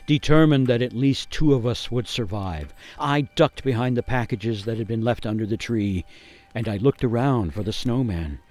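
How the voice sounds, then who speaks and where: A man playing a grave gingerbread man, narrating a radio play as a haunted survivor with theatrical suspense and weighty pauses. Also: mid-range voice, darkish tone, faint music behind, lightly acted. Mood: urgent, alarmed. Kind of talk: dramatic first-person storytelling for a radio play.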